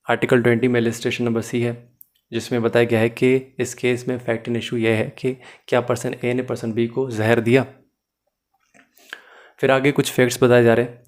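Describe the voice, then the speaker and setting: A man giving an explanatory lecture as a teacher, in a didactic way, mixing in English phrases and often checking that listeners follow. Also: medium pitch. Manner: mixes English phrases into Hindi-Urdu. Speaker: law teacher.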